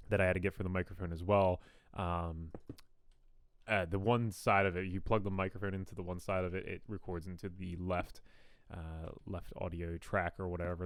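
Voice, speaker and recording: A young man with a low, smooth, radio-style voice talks through a game build in a relaxed, rambling way. He hedges and restarts, poking fun at his own work. It's recorded close on a good studio mic with a dry, clean sound. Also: normal speed, no echo. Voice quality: fairly deep radio-style voice. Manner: rambling, self-deprecating, frequent ums and restarts. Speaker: gaming YouTuber. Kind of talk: casual commentary while building in a game. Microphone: new studio condenser mic.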